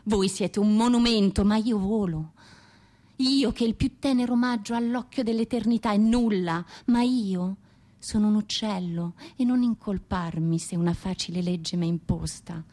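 A woman's voice: mid-range voice, moderate pace, warm tone, natural voice. A woman acting an anguished, impassioned exiled poetess. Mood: proud, defiant. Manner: impassioned, declamatory. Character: anguished exiled Russian woman poet, pleading yet defiant. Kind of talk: theatrical radio monologue.

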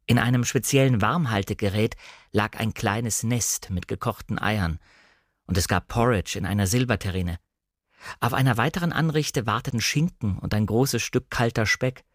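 A man reading a novel aloud as a shy, insecure young woman recalling her memories in an earnest, measured literary delivery. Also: lowish voice, no room sound, studio quality, natural voice. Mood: reflective, intimidated. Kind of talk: first-person audiobook narration of a novel.

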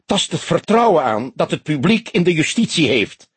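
A man's voice: sits high, big pitch swings, normal speed, a little mellow, husky, dry recording, pitched above the performer's own voice, full character voice. A man voicing a stiff, affected, easily outraged police commissioner in an audiobook, stern and emphatic. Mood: flustered, outraged. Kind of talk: audiobook character voice.

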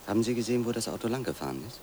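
A disillusioned ex-editor in a radio drama, his voice low. He is brooding and bitter, pressing his points with stubborn insistence. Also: animated, racing, noisy background. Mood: uneasy. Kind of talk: German radio drama acting with inner monologue.

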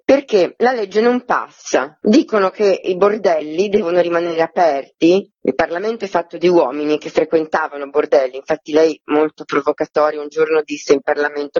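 A woman's voice: low-pitched, a little mellow, no room sound. Discursive woman, dry muffled sound. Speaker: actress and author being interviewed. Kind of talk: interview, explaining a theatre project.

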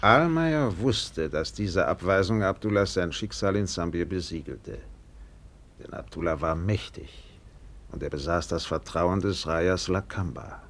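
A man's voice: deep voice, expressive, measured pace, a bit bright, a little hiss or hum. A man reading literary scripted narration as the narrator of a radio play.

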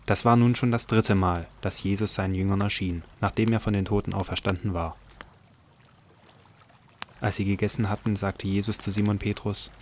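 A man's voice: lowish voice, steady tone, normal speed, a little hiss or hum. A man narrating a Bible audio drama from a script.

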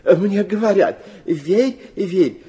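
An actor playing a sentimental, flighty old Russian prince in a radio play, rambling and hesitant, stammering and dropping in French phrases. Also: male voice, high-pitched, animated, extremely slow, dark tone, full character voice. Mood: sentimental, effusive. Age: older.

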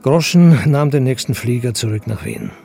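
A man's voice: medium pitch, big pitch swings, normal speed, gravelly. A man playing the wry narrator of a radio crime drama, reading scripted narration. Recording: music behind the voice.

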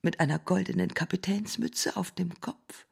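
A woman narrating a fairy tale in an experimental radio play, theatrical and deliberate, sometimes dropping to a hushed near-whisper. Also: on the low side, vocal fry. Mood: hushed, grave. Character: storyteller of a dark, dreamlike fairy tale. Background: music.

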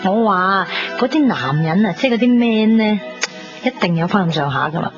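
A woman's voice: mid-range voice, animated, moderate pace, natural voice. A woman playing a bubbly, vain, chatty young woman with a Hong Kong accent in a 1990s radio drama. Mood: excited, teasing. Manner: chatty, dramatic, many exclamations and particles. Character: bubbly, vain young woman fretting over party outfit. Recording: muffled 1990s radio broadcast under music.

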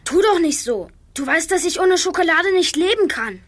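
Chubby boy sidekick, children's radio play: high voice, whiny, cheeky, forever grumbling. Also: animated, moderate pace, slight background noise. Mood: indignant, whiny. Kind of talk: German children's audio drama acting.

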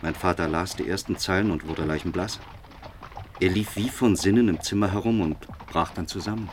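An actor playing a proud, earnest young gentleman, a well-bred son, in a radio drama. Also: deep voice, normal speed, a little hiss or hum, natural voice. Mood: troubled, narrating.

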